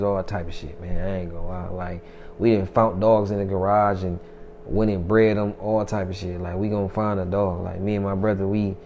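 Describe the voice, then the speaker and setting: A man's voice: sits low, mellow, a little hiss or hum. A man in his twenties, a rapper, talking loosely and boastfully in a casual interview, with plenty of profanity. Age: 20s. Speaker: rapper guest.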